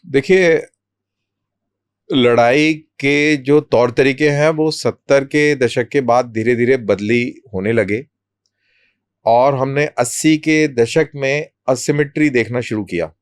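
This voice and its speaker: Man as interview guest, really clear smooth voice, explains things slowly in short chunks, dry sound. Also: mid-range voice, no room sound. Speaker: army colonel, interview guest.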